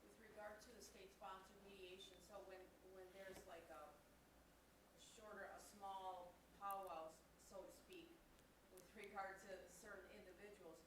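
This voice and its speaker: A woman's voice: medium pitch, steady tone, normal speed. A woman in the audience asking questions tentatively, with hesitant rephrasing as she goes. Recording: distant, echoey audience pickup, noisy.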